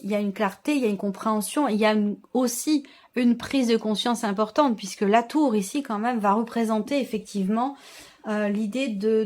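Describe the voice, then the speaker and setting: Female tarot reader, fairly high voice, unscripted and searching delivery that circles back to rephrase. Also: a little mellow. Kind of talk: unscripted tarot reading addressed to viewers.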